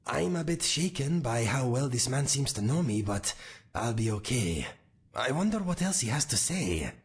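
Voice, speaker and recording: A man voicing a gentle, worried male cat-folk companion in a game, with a breathy, husky voice. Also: mid-range voice, big pitch swings, normal speed. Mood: shaken. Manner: soft-spoken, worried, polite.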